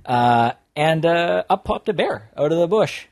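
A man co-hosting a podcast, telling a rambling story with lots of uh fillers and a deadpan delivery. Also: a little high, a little slow, no echo. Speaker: podcast co-host. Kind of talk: casual storytelling chat.

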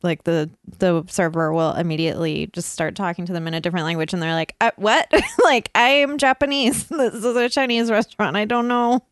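Female co-host, medium pitch, loose comedic riffing with frequent giggles, close dry studio-style sound. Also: fairly quick, hardly any pauses, no room sound. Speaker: podcast co-host. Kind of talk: casual comedic chat.

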